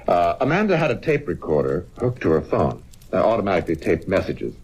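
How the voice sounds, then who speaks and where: A man's voice: deep voice, sing-song, fairly quick, a little mellow, husky, full character voice. An actor playing an aggrieved, self-righteous and devious man in a theatrical old-time radio drama style. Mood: sly, casual. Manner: theatrical, indignant.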